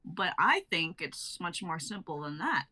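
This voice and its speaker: A woman's voice: on the low side, very animated, measured pace, sharp tone, exaggerated voice. A woman reading the part of a breezy, cryptic female stranger in a stage play, casual and teasingly evasive. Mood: cryptic, assured.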